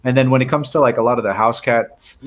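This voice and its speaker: A man appearing as a guest on a podcast, talking in a thoughtful, halting way and trailing off mid-sentence. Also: medium pitch. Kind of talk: casual chat.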